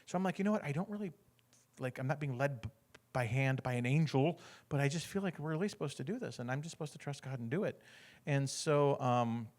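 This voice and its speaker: A man's voice: medium pitch, expressive, a little fast, a little mellow. A middle-aged American man telling a faith testimony as a story, acting out the people in it and laughing now and then. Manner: acts out other people's lines, often laughing. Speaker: guest speaker sharing a testimony.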